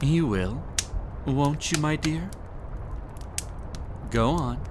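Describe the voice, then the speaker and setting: A man's voice: on the high side, animated, extremely slow, background noise. A man playing a possessive, smug prince in a romantic audio roleplay, with a silky, condescending, theatrical delivery. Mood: smug, coaxing. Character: possessive, smug young prince with hypnotic magic.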